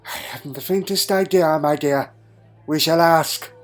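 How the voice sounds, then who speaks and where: A man's voice: a little high, measured pace, lightly acted. Elderly time traveller, played by a man: doddering, fussy, imperious but fond, hums questioningly; audio drama over music. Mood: polite, fussy. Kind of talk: fan audio drama acting. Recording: music underneath.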